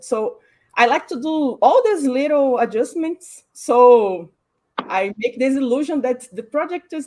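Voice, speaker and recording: A woman, a graphic designer explaining her work on a panel, thoughtful and pausing to find her words. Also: on the high side, darkish tone. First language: Portuguese. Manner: thoughtful, pauses to search for words. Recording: online panel stream.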